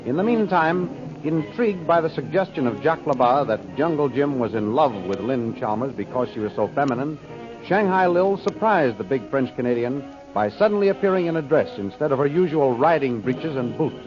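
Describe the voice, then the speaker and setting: A man's voice: mid-range voice, animated, fairly quick. A man narrating an old-time radio serial as its announcer, in a formal, dramatic, scripted style. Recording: dull old radio recording. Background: music.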